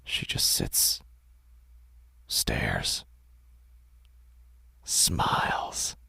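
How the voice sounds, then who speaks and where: A man narrating a scripted horror story in a hushed, slow delivery that often drops to a whisper, recorded on a close studio mic. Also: no echo. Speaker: narrator and host.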